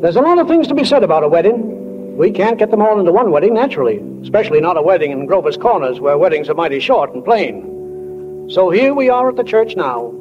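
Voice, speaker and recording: An actor playing a homespun, plainspoken small-town stage manager who narrates a 1940s radio drama. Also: male voice, high voice, big pitch swings, fairly quick. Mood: folksy musing. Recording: dull 1940 radio recording, faint music.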